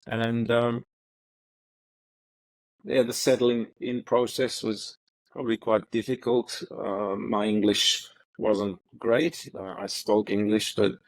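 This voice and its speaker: A middle-aged man from Ethiopia, telling his story as an interview guest in a halting way, with many fillers and repeated words. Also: fairly low pitch, little pitch movement, no room sound, rough recording. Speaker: interview guest, retired prosecutor. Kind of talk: relaxed reflective storytelling.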